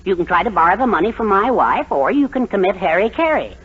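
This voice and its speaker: A man's voice: high-pitched, lively intonation, quick pace. A man acting a long-suffering, henpecked middle-aged father in a 1940s radio sitcom, with a dry, drawling comic delivery. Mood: wry. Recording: dull, noisy 1940s radio with audience.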